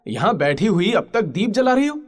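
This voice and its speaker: A man's voice: high voice, very animated, normal speed. A man playing a bold pirate warrior in a dramatised audio story, speaking in a theatrical, commanding way. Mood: exuberant. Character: bold young male pirate warrior, commanding yet tender.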